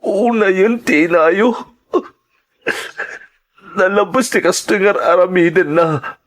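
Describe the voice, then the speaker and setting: Guy as a hurt dad in an ilocano radio drama, pleading, protective, voice a bit high. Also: slow. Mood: hurt, tearful. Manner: pleading, emotional. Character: aggrieved, protective father of young children.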